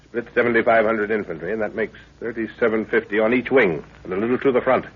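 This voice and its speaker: An actor playing a middle-aged commander and fond father, brisk and authoritative, in a 1930s radio drama. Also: on the low side, breathy edge. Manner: brisk, authoritative, clipped orders. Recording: tinny, muffled 1930s radio recording.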